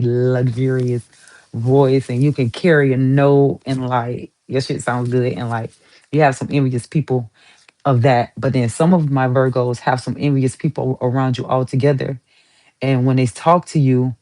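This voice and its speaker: A woman's voice: very deep voice, dark tone. A woman giving a casual tarot reading to her viewers, chatty and emphatic, swearing casually and repeating herself for emphasis. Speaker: tarot reader.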